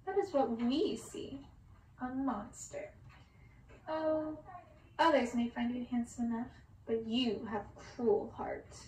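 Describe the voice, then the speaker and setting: A woman's voice: on the high side, takes it slowly, darkish tone, echoey room, distant mic, slight background noise, low-quality recording. A woman voicing cruel, accusing witch sisters who take gleeful menace in a storybook tale.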